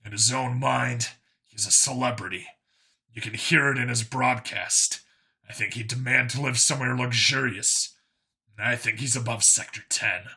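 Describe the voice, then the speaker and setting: A man's voice: medium pitch, fairly even intonation, normal speed, creaky, sharp tone, breathy edge, full character voice. A man voicing a blunt, sardonic human cop who is plain-spoken and self-assured in an audiobook story. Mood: thoughtful.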